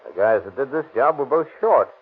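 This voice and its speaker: An actor playing a firm, businesslike police sergeant in an old radio crime drama. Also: male voice, medium pitch, expressive, brisk, husky. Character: brisk, no-nonsense police sergeant. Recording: tinny 1930s radio broadcast.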